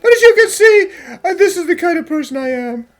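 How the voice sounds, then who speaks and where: A woman's voice: very high voice, lively intonation, a little fast. A woman voicing a proud, worn-out male chief inspector in an anime fan dub, formal and melodramatic, with music underneath. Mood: resigned, confessing.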